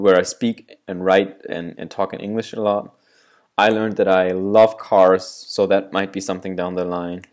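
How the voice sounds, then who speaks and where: A man's voice: low voice, a little mellow. A young man whose first language is German, explaining a self-reflection exercise in a loose, rambling way with restarts. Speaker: video creator giving self-improvement advice. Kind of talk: casual explainer talking to camera.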